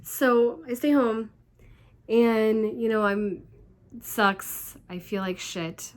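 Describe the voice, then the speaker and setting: A woman vlogger with a hoarse voice from laryngitis and a stuffed-up nose, chatting through a rambling story full of fillers. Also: fairly high pitch, slow. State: congested, stuffed up. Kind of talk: casual storytelling vlog.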